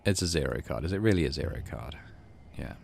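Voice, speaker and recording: Deep-voiced man doing game commentary. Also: animated, quick pace, creaky, a little mellow, a little hiss or hum. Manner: thinks aloud while playing. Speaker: gaming channel host playing a visual novel. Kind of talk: casual let's play commentary, reading story text aloud.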